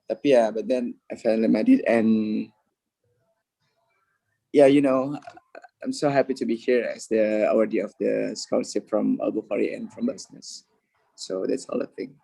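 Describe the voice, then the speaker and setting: Gravelly, husky young man with Indonesian accent. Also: fairly low pitch, fairly even intonation, normal speed, warm tone, no room sound, low-quality recording. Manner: hesitant, many filler sounds, mixes in English. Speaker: scholarship awardee speaker. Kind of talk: webinar talk.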